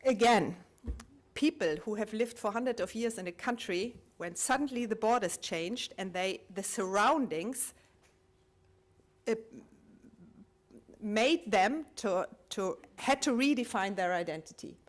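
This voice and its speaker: Reflective woman asking rhetorical questions. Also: medium pitch. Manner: halting, with frequent restarts. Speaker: conference speaker. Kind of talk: public talk sharing collected testimonies.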